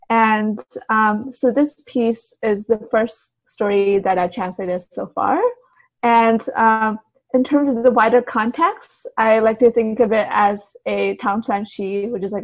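A woman answering conversationally as an interview guest, explaining things in a tentative, hedging way. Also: medium pitch. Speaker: podcast guest, writer and translator. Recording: slightly dull, lo-fi sound.

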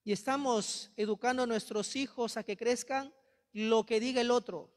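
A man's voice: very high voice, steady tone, normal speed. A young Peruvian priest with a Peruvian accent preaching a sermon in a large church, holding a handheld mic, impassioned and reproachful, repeating his phrases. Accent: Peruvian Spanish. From Peru. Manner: impassioned, rhetorical repetition, reproachful. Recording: long church reverb.

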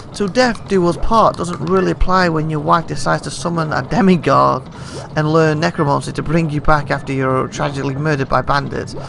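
Touchy undead skeleton tinkerer for a game, read by a man, very gravelly, sardonic, noisy recording. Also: a little high. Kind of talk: reading game dialogue aloud.

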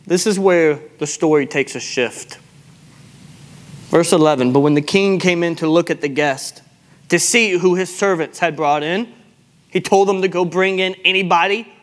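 High-pitched man preaching emphatically. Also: normal speed, a bit bright. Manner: emphatic, exhortatory, repeats phrases for weight. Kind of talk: sermon. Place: large church sanctuary, speaking from the pulpit to a seated congregation.

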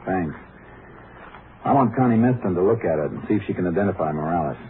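An actor playing a calm, skeptical Texas Ranger in a 1950s radio drama, speaking in a dry, measured, deadpan way. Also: male voice, low voice, slight background noise. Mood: firm, skeptical. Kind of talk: 1950s radio drama acting, first-person narration and dialogue. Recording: muffled vintage radio recording with music.

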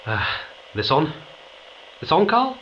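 An actor playing an easygoing mission commander in a science fiction audio drama, speaking informally and with some hesitation. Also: male voice, on the high side, huge pitch swings, slow, lo-fi sound, a little hiss or hum, full character voice. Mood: informal, hesitant. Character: easygoing male mission commander of a space crew.

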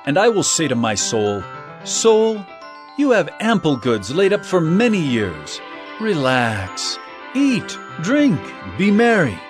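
Earnest male teacher of parables, audio Bible drama. Measured, declamatory, very expressive, faint music underneath. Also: fairly high pitch, heavily acted. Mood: earnest teaching.

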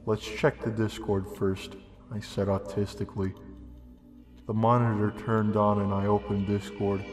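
Young guy narrating a creepy story totally deadpan, flat voice, big echoey reverb, music behind. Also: lowish voice, measured pace. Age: young adult. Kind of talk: deadpan reading of a comedic horror story.

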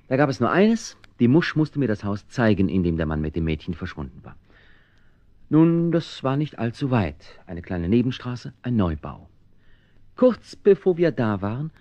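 An actor playing a resolute, caring man who narrates his own adventure in a radio play, expressive and conversational. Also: medium pitch, dry recording, lo-fi sound. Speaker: narrator and protagonist.

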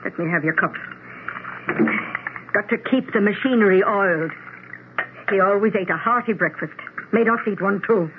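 A woman's voice: medium pitch, normal speed, pauses often, close to an everyday voice. An actress playing a plain-spoken, pious, motherly housekeeper who frets, in a 1970s radio drama. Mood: cheerful, homely. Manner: homely, plain-spoken, fretful. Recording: muffled, hissy 1970s radio recording.

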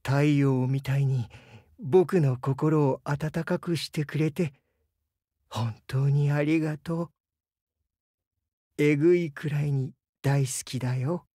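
Slow-talking bashful crocodile man. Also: a little high, fairly even intonation, slightly rough, dry recording, studio quality. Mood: earnest, tender. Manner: soft-spoken, hesitant, earnest, stammers when flustered. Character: gentle, bashful crocodile-man diving instructor, easily flustered. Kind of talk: Japanese game character voice lines.

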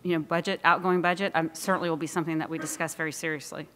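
A woman in her forties answering a policy question at an auditorium podium, careful and measured. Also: deep voice, level tone, fairly bright tone, in a large hall, a little hiss or hum. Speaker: state official answering. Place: large auditorium stage. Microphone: podium mic.

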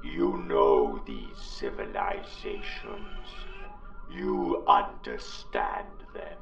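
A man voicing a menacing, domineering alien war machine in an audiobook, barking slow staccato commands through electronic robotic modulation. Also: rumbling low, expressive, gravelly, fairly bright tone, roomy sound, lo-fi sound, soft background music, pitched below the performer's own voice, heavily acted. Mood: cold, domineering. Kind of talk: audiobook character voice.

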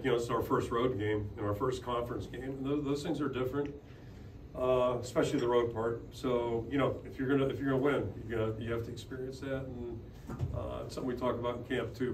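An older man, a head football coach, answering press questions at a podium in a steady, plain-spoken way with many fillers and restarts. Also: medium pitch. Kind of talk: postgame press conference answers. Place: press room in front of a logo backdrop. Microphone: podium microphone. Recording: roomy, slightly distant, noisy.

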